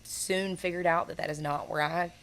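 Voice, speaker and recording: A young woman with a naturally raspy voice, telling stories in an earnest, rambling way as a singer being interviewed. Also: lowish voice, steady tone, moderate pace, a bit bright. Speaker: guest singer. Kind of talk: casual interview chat.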